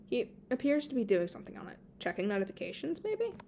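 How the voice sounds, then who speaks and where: A woman's voice: a little high, big pitch swings, fast talker, mellow, slight background noise. A woman reading a story aloud as an amateur narrator, now and then tripping over a word and correcting herself. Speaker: amateur podfic reader. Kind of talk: reading fan fiction aloud.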